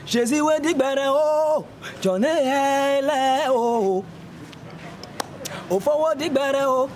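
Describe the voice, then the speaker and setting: A man sings a slow gospel chant in a very high voice. The recording is noisy, with traffic and street ambience behind him. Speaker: guest gospel singer.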